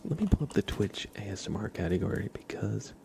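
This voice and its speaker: A man chatting softly as an ASMR livestream host, hushed and often slipping toward a whisper. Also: fairly low pitch, very animated, brisk, creaky, slight background noise. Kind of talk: soft-spoken ASMR livestream chat.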